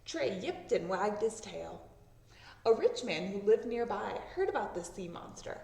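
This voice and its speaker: A woman reading a children's story aloud, with expressive narration that acts out the words. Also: medium pitch, unhurried, vocal fry. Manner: expressive, acting out sound words. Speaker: storybook reader. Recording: roomy echo, distant microphone.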